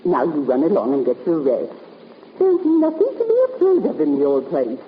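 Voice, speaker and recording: A woman's voice: low-pitched, big pitch swings, moderate pace. An actress playing a fretful, genteel elderly lady companion in a radio drama, hesitant and fluttery in her speech. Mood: nervous, evasive. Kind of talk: 1950s radio drama acting. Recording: muffled, noisy 1950s radio recording, reverberant.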